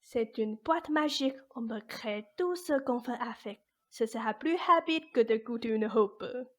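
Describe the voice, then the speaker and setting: A woman's voice: a little high, a little slow. A woman acting as a jaded, ancient immortal fairy godmother in a radio play, blunt, colloquial and mischievous. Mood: excited, breezy. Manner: blunt, colloquial, world-weary. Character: jaded 874-year-old immortal fairy godmother, grumbling but mischievous.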